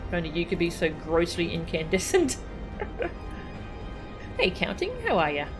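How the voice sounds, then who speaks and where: A woman's voice: lowish voice, sing-song, normal speed. Chatty woman streaming a game. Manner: chatty, reads game text aloud, laughs often. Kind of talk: casual gameplay commentary. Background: game music.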